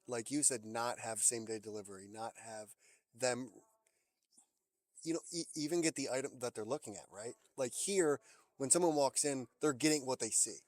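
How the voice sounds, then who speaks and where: A man interviewing someone in a casual, conversational way, with somewhat halting phrasing. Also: mid-range voice, darkish tone, dry recording.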